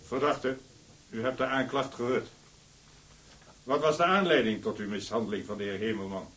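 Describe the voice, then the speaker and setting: Husky, stern court judge. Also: male voice, mid-range voice, fairly even intonation, fairly bright tone, rough recording. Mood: stern, formal. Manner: formal, measured, official. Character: stern, formal court president passing sentence. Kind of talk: Dutch audio drama acting.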